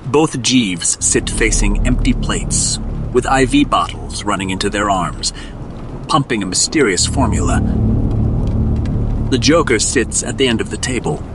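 A man's voice: on the low side, expressive, a little slow, background noise. A man narrating an audio drama as a scripted storyteller, setting each scene.

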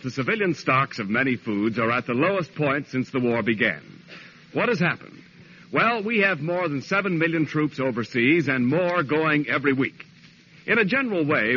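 A man's voice: medium pitch, pushed and bright, a little hiss or hum. A man reading scripted copy as a formal, emphatic 1940s radio announcer. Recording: dull 1940s radio recording, faint music.

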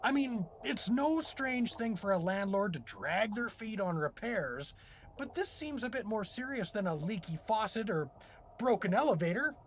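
Goofy sarcastic dad hero, voice jumps all over, flustered, audio drama comedy. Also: male voice, sits high, animated, moderate pace, slight background noise. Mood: challenging. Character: dopey, indignant everyman dad, a wisecracking prisoner.

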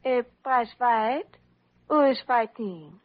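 An innocent, coy French young lady in a vintage radio play. She speaks fairly high, in French-accented broken English, on a muffled old recording. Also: animated, takes it slowly. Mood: innocent, bright. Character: sweet French bride-to-be, female patient, naively charming. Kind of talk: old-time American radio drama acting.

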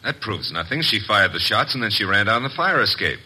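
Lowish husky male voice, swooping intonation, jealous cornered killer husband, urgent, defensive, radio drama. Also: animated, a little fast, sharp tone, exaggerated voice, rough recording. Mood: evasive, nervous. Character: jealous, cornered husband, a desperate, evasive murderer. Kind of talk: 1950s radio mystery acting.

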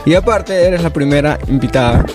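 A man's voice: on the high side, lively intonation, brisk, music in the background. A man co-hosting a podcast, chatting casually, asking easygoing questions and chuckling often. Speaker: podcast co-host. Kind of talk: casual chat.

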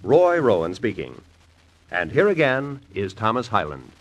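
A man's voice: fairly low pitch, sing-song, unhurried, poor audio. A man with an American accent reading scripted announcements in the style of 1950s network radio.

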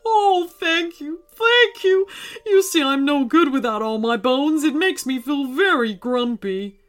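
A giant male skeleton from a storybook, once fearsome but now sheepish, speaking in a polite, apologetic way, as a woman puts him on. His voice is higher than expected and swings widely in pitch. Soft music plays underneath. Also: animated, unhurried. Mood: relieved. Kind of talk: children's storybook reading.

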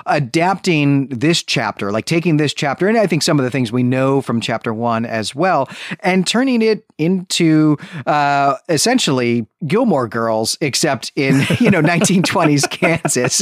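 A man's voice: fairly high pitch, no echo, clean studio sound. A man hosting a podcast in casual discussion, talking in a chatty, enthusiastic, rambling way. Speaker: podcast host.

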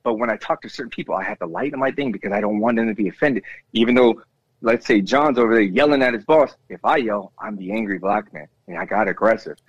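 A man with a bass voice talks with rising passion, stacking up repeated words as he breaks in. The sound is dry and a little dull, with no room around it. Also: quick pace, breathy edge, no echo. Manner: impassioned, repeats himself when cutting in. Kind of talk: casual discussion.

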